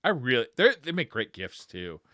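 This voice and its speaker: A man's voice: medium pitch, very animated, normal speed, pushed and bright, no echo, studio quality. A man co-hosting a podcast, chatting casually and enthusiastically about video game news in informal, slangy language. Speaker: podcast co-host.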